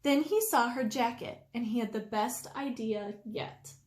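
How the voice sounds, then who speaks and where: A woman reading a children's story aloud expressively, with deliberate, drawn-out emphasis. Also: fairly high pitch, a little mellow. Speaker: storytime reader. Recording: roomy indoor echo.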